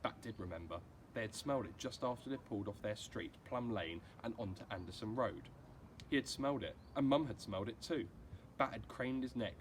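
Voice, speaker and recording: A man reading a children's storybook aloud like a teacher, acting out the dialogue expressively. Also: medium pitch. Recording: clearly noisy amateur recording.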